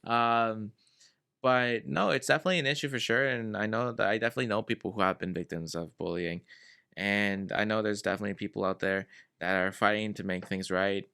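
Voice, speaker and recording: A man's voice: fairly low pitch, fairly even intonation, fairly quick, vocal fry, bright, forceful tone, clear, resonant tone. A man from Venezuela, a guest in a casual conversation, talking in a laid-back way and giving earnest advice.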